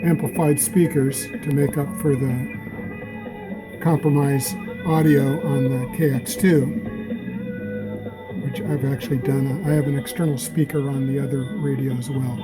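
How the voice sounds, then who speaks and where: A man giving a casual, measured demonstration as a radio hobbyist. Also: mid-range voice, steady tone, over loud music, low-quality recording. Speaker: amateur radio operator demonstrating receivers. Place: small indoor radio room.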